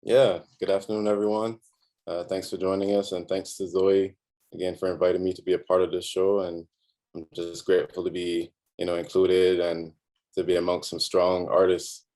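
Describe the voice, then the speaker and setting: A man from Barbados, a guest artist, introducing himself and his work in a low-key, reflective way over a video call. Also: level tone, moderate pace, mellow. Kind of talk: conversational self-introduction.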